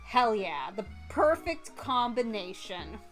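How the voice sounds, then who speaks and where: Woman game streamer, high voice, very lively intonation, theatrical story reading, giggly, music behind. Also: slow, poor audio. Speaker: livestreamer playing a visual novel.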